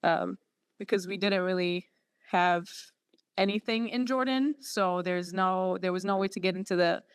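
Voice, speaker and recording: A woman's voice: fairly low pitch, clean tone, no room sound. Earnest woman. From Syria. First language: Arabic. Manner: earnest, halting with many fillers and restarts. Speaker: podiatry student guest. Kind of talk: personal story in interview.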